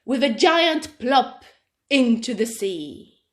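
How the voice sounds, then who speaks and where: Woman, children's story reader, lively intonation, dramatic delivery, slow measured pace. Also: on the high side. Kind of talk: expressive storybook narration.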